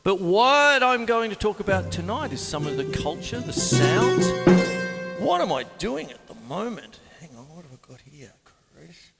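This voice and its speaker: Male drum teacher, chatty and jokey, a touch high-voiced, reverberant home studio with faint music. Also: sing-song, normal speed, creaky. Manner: chatty, rambling, jokey asides. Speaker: drum teacher and show host. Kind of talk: casual live-to-camera drum lesson.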